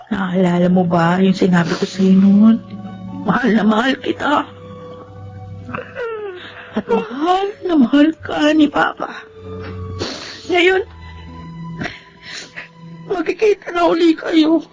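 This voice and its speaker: An actress playing a devoted, gentle young daughter in a Tagalog radio drama. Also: female voice, on the high side, low-quality recording.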